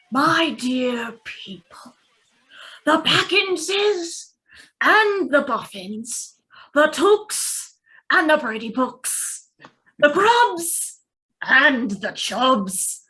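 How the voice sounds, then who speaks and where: A woman playing an old male hobbit making a halting, theatrical speech at a script table read. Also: high voice, pushed and bright, heavily acted, rough recording.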